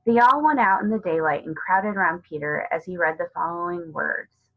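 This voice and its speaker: A woman's voice: fairly low pitch, moderate pace, narrow, tinny audio. A woman narrating a children's novel as a storybook reader.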